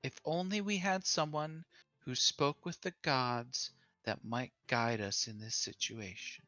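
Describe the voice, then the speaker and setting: A player in a tabletop game, talking slowly and hesitantly with playful jokes. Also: mid-range voice, steady tone, bright, forceful tone, no echo, muffled recording, soft background music. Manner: slow, joking, hesitant. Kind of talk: casual tabletop game chat.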